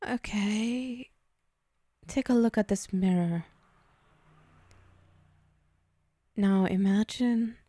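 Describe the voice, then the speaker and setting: Slow, dark-toned possessive demon woman, acted by a woman. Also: mid-range voice, no room sound, clean studio sound. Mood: patient, indulgent. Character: possessive female demon, teasing, menacing yet indulgent. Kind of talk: ASMR roleplay, addressing the listener.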